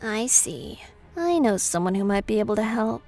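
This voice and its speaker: A woman's voice: medium pitch, sing-song, normal speed, darkish tone, soft background music. A woman playing a cool, flirtatious underworld helper in a manga drama, coy and drawling.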